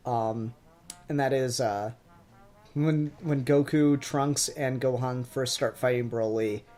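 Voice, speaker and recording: A man hosts a casual review podcast in an animated, conversational way, with music in the background. His voice sits at a middle pitch, and he talks through his opinions loosely and informally. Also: slow. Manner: giggly, animated quote re-enacting. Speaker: podcast host.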